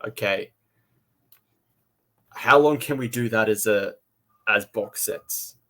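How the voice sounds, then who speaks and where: A man co-hosting a casual review podcast, talking haltingly and repeating phrases as he thinks aloud. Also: lowish voice, sharp tone.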